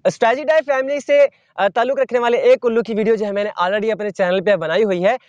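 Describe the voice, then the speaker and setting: A young man hosting a wildlife channel, explaining calmly to the viewer while sitting on open sandy ground outdoors. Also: high-pitched, no room sound, lo-fi sound. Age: young adult. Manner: measured, teacherly, addresses viewer directly.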